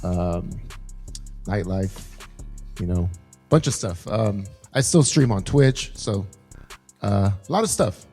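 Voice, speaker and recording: A man's voice: fairly low pitch, takes it slowly, long pauses, mellow, soft background music. A man from Southern California chatting casually as a podcast guest. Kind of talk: casual chat.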